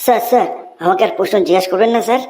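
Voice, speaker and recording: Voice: medium pitch, rapid-fire, darkish tone, natural voice. An actor voicing a cheeky young schoolboy with an earnest, deferential, pleading manner in a cartoon comedy. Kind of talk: exaggerated Bengali cartoon comedy dubbing.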